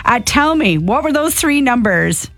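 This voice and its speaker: A woman presenting breakfast radio, bubbly and excitable. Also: sits high, measured pace, no echo. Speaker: radio host. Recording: radio broadcast with music bed.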